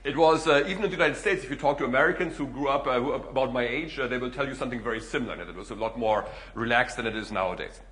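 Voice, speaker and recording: A middle-aged man from Germany with a German accent, giving a relaxed public lecture as a guest speaker and filling pauses with "uh" and "you know". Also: medium pitch, roomy sound. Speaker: guest speaker, economics professor.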